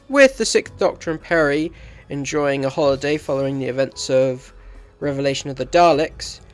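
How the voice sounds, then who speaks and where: Male video reviewer, slightly high voice, scripted commentary, faint music behind.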